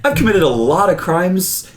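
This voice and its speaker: A man's voice: high-pitched, big pitch swings, moderate pace. A man playing a tabletop game, talking in casual, joking table chat.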